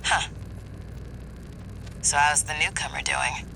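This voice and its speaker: A wry male associate in a video game, with a highish, very bright and forceful voice. He talks with easy familiarity, over faint background noise. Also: huge pitch swings, takes it slowly, gravelly, heavily acted, low-quality recording.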